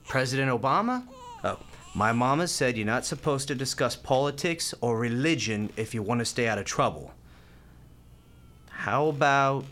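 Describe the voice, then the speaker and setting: A woman's voice: very deep voice, slight background noise, exaggerated voice. A woman acting in a radio play as a brash, tender, streetwise young hospital volunteer, speaking informally with an American accent. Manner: informal, defiant, slangy.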